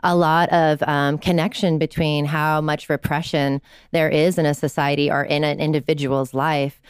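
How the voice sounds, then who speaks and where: A woman therapist talking as a podcast guest, telling client stories in a lively way and acting out quotes. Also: low-pitched, moderate pace, clear, resonant tone, no echo, studio quality. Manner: animated storyteller, acts out quotes. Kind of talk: conversational interview.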